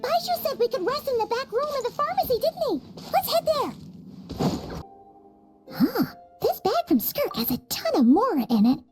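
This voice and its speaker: A tiny fairy companion with an extremely high, squeaky child's voice. She is bubbly and fretful, swinging wildly in pitch like an excitable game cartoon sidekick. Music plays behind her. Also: normal speed. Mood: pondering. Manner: childlike, exclamatory, refers to herself in third person. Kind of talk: anime-style game voice acting.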